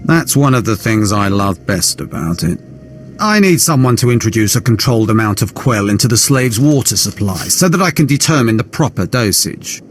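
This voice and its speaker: Man playing a low, cruel Sith lord. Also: lively intonation, moderate pace, faint music behind, lightly acted. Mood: gloating cruelty. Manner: smug, menacing, formal. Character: cold, sadistic Sith lord relishing cruelty. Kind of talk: video game cutscene dialogue.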